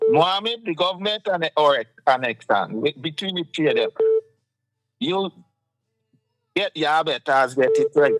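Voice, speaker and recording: A man's voice: fairly high pitch, lively intonation, a little slow, long pauses, low-quality recording. A man with a Guyanese accent hosting a casual political talk show, passionate and firing off rhetorical questions.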